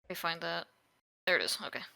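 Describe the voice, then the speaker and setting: A woman's voice: lowish voice, expressive, quick pace, gravelly, sharp tone. A woman chatting casually as a player putting together a tabletop game character. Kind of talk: casual chat.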